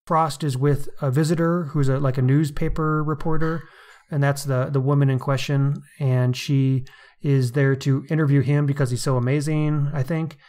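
A man's voice: a little high, fairly even intonation, normal speed, darkish tone. A man co-hosting a podcast, discussing fiction casually and appreciatively as he thinks aloud. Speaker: podcast co-host.

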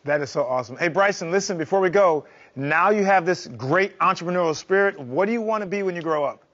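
Upbeat high-voiced male talk show host. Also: animated, fast, a bit bright, muffled recording. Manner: enthusiastic, encouraging. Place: TV studio set.

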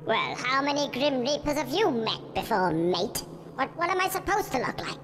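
A man's voice: very high voice, animated, moderate pace, background noise. A man voicing a short, put-upon Grim Reaper in a video game, British, irritable and sardonic as he grumbles and swears. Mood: grumpy, irritable. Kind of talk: video game cutscene dialogue.

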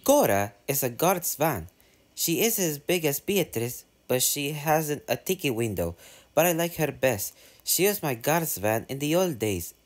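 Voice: mid-range voice, very animated, unhurried. A narrator voicing Peter Sam, an eager, polite young male engine who chats on and on. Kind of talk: storybook reading.

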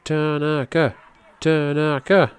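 A man's voice: slow, clear, resonant tone. Man in casual, rambling wrestling commentary. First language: English. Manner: loose, rambling, swears casually, gets excited at high spots. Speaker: podcast host commentating a match.

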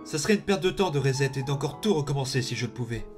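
Villainous cartoon boy, played by a man: sinister, condescending, coldly commanding, deliberate delivery, music behind. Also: mid-range voice, lively intonation, natural voice. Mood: taunting, threatening. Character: menacing, power-hungry undead boy, coldly commanding. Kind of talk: amateur comic dub acting.

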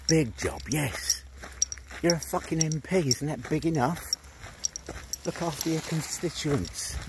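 A man, doing a brash, sweary, mocking one-sided prank phone call outdoors, filmed on a phone held at arm's length. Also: a little high. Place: outdoors among trees. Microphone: phone held at arm's length, headphones on. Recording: noisy outdoor phone recording.

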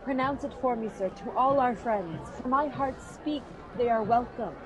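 A woman's voice: a little high, normal speed, a crowd in the background, close to an everyday voice, poor audio. Woman playing scornful queen. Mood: gracious. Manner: sharp, scolding.

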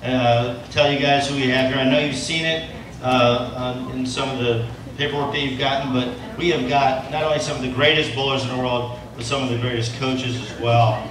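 A man's voice: mid-range voice, steady tone, brisk, a crowd in the background. A man introducing the coaches to an audience, rambling with fillers and self-deprecating asides. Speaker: event presenter introducing coaches. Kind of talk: speech to an audience. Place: large event tent, from far off. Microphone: PA system, recorded by a phone in the audience. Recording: echoey tent, noisy, distant.

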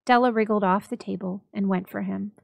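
A woman narrating a story dramatically, with expressive, measured storytelling. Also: mid-range voice, creaky, dry recording. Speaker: library staff story reader.

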